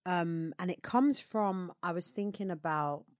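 Chatty woman, low and dry. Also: no room sound. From London. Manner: chatty, laughs often, drawn-out storytelling. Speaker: podcast co-host and DJ. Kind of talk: casual chat.

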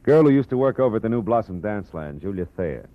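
Man voicing a hardboiled private eye, low lively voice, dull old radio with music. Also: fast talker, no echo. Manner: wisecracking, laconic. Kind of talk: 1940s radio acting.

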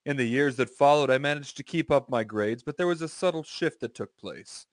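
A man's voice: mid-range voice, animated, brisk, dry recording. A man reading aloud as a podcast co-host, informal and profane, breaking into casual banter.

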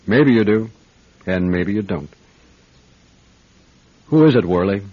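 Casting: confident radio detective, deep-toned, measured, knowing, on muffled old radio sound. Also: male voice, expressive. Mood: accusing. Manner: measured, wry, probing. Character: calm, probing male private detective, confident and unhurried. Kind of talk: radio drama acting with first-person narration. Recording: dull band-limited radio drama with music.

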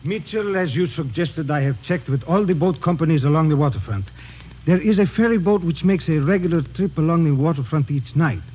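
An actor playing an earnest foreign police lieutenant in a classic radio drama, speaking formally and precisely. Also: male voice, on the high side, expressive, brisk, husky. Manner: formal, precise phrasing without contractions. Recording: dull vintage radio recording.

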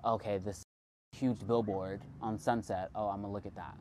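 A man's voice: fairly low pitch, flat delivery, normal speed, a little mellow, a little hiss or hum. A man in his twenties, a guest artist and filmmaker, talking in a loose, chatty way with many filler words. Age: 20s. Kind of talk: casual conversation.

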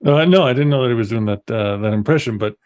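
A man's voice: medium pitch, quick pace, a little mellow. A man from the United States, a musician guest on a podcast, talking casually with many fillers.

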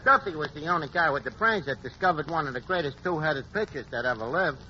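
A man's voice: on the high side, animated, quick pace, natural voice. A man doing a word-mangling New barkeep. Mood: wry. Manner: folksy storyteller, drops in malapropisms and filler. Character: wisecracking male tavern bartender who mangles words. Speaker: comic guest. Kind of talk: 1940s radio comedy acting. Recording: noisy lo-fi 1940s radio broadcast.

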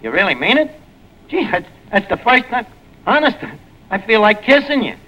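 Bumbling New York tavern wiseguy, sharp voice. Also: male voice, high voice, big pitch swings, moderate pace, exaggerated voice. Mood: eager. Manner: hesitant, bumbling, slangy. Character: gruff, dim malapropping wisecracking tavern manager, male. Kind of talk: 1940s radio comedy acting. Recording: noisy vintage radio broadcast.

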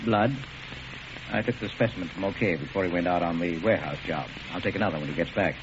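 An actor playing a genteel, professorial doctor turned criminal, polite and wordy, in a 1940s radio drama. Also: male voice, low voice, fast talker, lightly acted. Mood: calm, evasive. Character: genteel, erudite male doctor posing as cool criminal. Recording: dull, noisy old radio recording.

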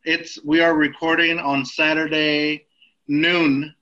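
A man's voice: fairly high pitch, flat delivery, takes it slowly, sharp tone, lo-fi sound. A man hosting a casual podcast who talks in a chatty way, laughing between phrases. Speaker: podcast host.

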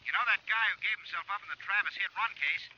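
A telephone-filtered voice on a dull old radio drama recording: an excited, brisk news correspondent. He is high and very sharp in tone. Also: slight background noise. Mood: urgent. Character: brisk, excited male newspaper correspondent phoning in. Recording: muffled vintage radio recording.

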